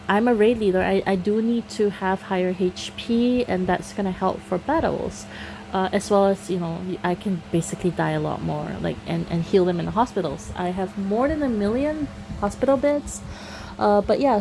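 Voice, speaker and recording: A woman's voice: mid-range voice, a little mellow, a little hiss or hum, low-quality recording. A woman from Singapore with a Singaporean accent, chatting casually to viewers about a mobile game, filling her talk with "you know" and laughing often.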